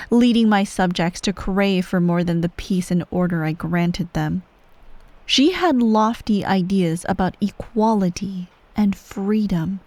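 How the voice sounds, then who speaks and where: A woman playing the cold, manipulative ruler of an evil empire and stern parent in an ASMR bedtime roleplay, speaking in a measured, condescending way that often softens to a near-whisper. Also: medium pitch, dry recording. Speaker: voice actor in a roleplay audio. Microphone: close microphone.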